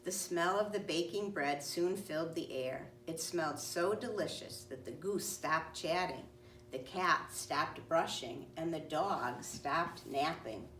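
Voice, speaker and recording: A woman narrating a children's storybook aloud in a deliberate, clearly phrased way. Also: low voice, fairly bright tone. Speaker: storybook reader. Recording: roomy, distant mic, faint noise.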